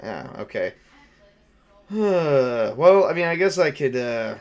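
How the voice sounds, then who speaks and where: Male tabletop game master, mid-range voice, improvising loosely with halting, repetitive phrasing. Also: medium pitch, big pitch swings, a little mellow. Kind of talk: improvised tabletop roleplay and narration, conversational.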